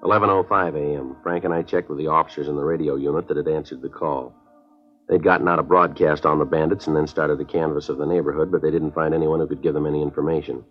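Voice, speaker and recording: A terse American police detective from a 1950s radio drama, very low in pitch and quick in pace. He narrates in a clipped deadpan. The old recording is muffled. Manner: deadpan, clipped, matter-of-fact. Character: terse, businesslike male police detective narrating his case.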